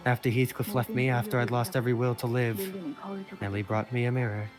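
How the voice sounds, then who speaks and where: Wistful, solemn young noblewoman, voiced by a male amateur, game story narration with clear background music. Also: level tone, fairly quick, close to an everyday voice. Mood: mournful. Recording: low-quality game capture under music.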